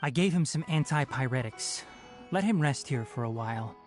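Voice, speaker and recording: A man's voice: medium pitch, lively intonation, moderate pace, faint music behind, natural voice. A man voicing a young, knowledgeable, no-nonsense forest watcher and healer in a video game, articulate and matter-of-fact. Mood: serious, explaining. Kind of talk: English game voice acting.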